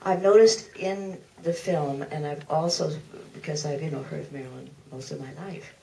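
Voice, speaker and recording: An older woman with a creaky voice asking a chatty, rambling question from the audience. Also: sits low, normal speed, warm tone. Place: theater audience at a live Q&A. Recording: distant mic, faint room noise.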